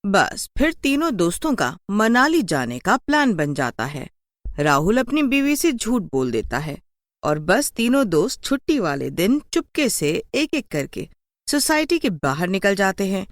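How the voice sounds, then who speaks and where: A woman's voice: mid-range voice, sing-song, a little slow, no echo, studio quality. A woman narrating a scripted horror story as a storyteller.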